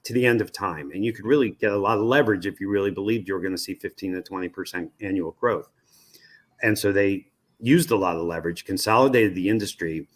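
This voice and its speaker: Low-voiced American man explaining in an interview. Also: fairly bright tone. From United States. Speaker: media research executive, interview guest.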